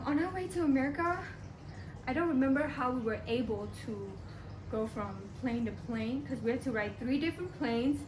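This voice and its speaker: Young woman from Burma, echoey distant mic. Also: a little high. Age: young adult. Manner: halting, with frequent pauses and restarts. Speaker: college student presenter. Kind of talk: class presentation. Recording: echoey room, distant mic, background noise.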